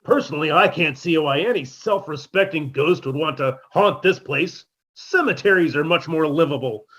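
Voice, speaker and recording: A man's voice: a little high, moderate pace, fairly bright tone. A man playing a shabby, self-pitying musician neighbor who delivers dry punchlines in a 1950s-style radio comedy. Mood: wry.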